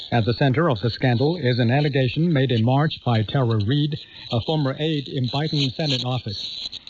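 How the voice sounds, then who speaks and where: Guy reading radio news, formal, mid pitch, buried in loud shortwave static. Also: dull audio. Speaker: news reader. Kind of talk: scripted radio news bulletin. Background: heavy shortwave static.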